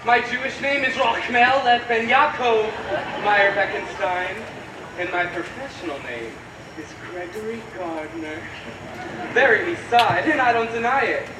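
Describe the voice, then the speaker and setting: A man's voice: sits high, a little slow. A man acting a brash, streetwise dancer in his thirties who speaks proudly. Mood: cocky. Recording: echoey stage from far off, noisy.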